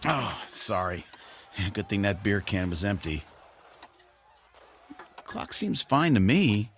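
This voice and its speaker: Easygoing husband, hugely animated pitch. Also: male voice, slow, slight background noise, full character voice. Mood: reluctant, casual. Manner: casual, chatty. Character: easygoing, boyishly enthusiastic husband, casually oblivious. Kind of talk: naturalistic television drama acting.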